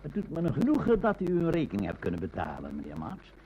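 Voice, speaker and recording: A man's voice: mid-range voice, huge pitch swings, fast, husky. A man acting a formally polite, dryly cynical bookmaker boss in a Dutch radio play. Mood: coolly polite. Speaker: betting shop owner. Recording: dull, noisy old radio recording.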